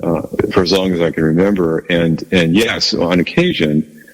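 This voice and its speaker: A man with a low bass voice, chatting as a guest in an interview, speaking haltingly and modestly with many restarts. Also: in a large hall, rough recording. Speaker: guest musician. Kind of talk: casual interview chat.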